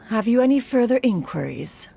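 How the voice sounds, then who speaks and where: Wise crane immortal, video game mentor, archaic formal diction, caring yet proud, gravelly fry, music underneath. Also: female voice, a little high, mellow, full character voice. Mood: solemn, apologetic. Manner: formal, archaic, stately, calls herself "one". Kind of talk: scripted video game dialogue. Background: background music.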